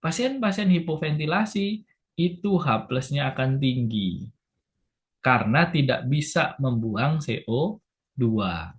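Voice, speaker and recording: A man lecturing health workers, slow and patient, repeating key points, with a sore throat that makes him clear his throat. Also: mid-range voice, animated.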